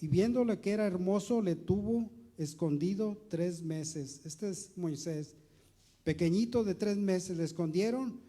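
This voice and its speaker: High-voiced, dark-toned male pastor preaching. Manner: preaching with slow, emphatic stretches and rising emphasis; repeats words while searching. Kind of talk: sermon.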